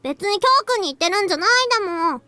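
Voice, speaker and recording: A spoiled little girl from a visual novel, clinging and cute. The voice is tiny, very high and fast, with a bright edge. It is recorded close and dry. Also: lively intonation, no echo, full character voice. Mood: indignant, pouting. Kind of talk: Japanese visual novel voice acting.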